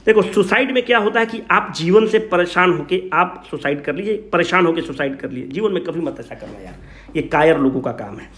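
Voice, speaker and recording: A man's voice: fairly high pitch, lively intonation, a little fast, a bit bright. A man with a Bihari Hindi accent lecturing informally as an exam coach, emphatically asking questions, answering them himself and repeating key points in colloquial speech. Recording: echoey room.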